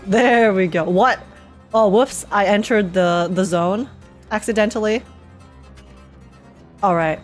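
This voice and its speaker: A young woman giving casual, slangy and expressive game reaction commentary as a streamer. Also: mid-range voice, takes it slowly, pauses often, soft background music.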